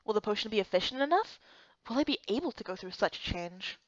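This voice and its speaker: A woman voicing an earnest, excitable young heroine, reading a fantasy game story in the first person with expressive, dramatic delivery. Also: mid-range voice, fast, dry recording, muffled recording, close to an everyday voice.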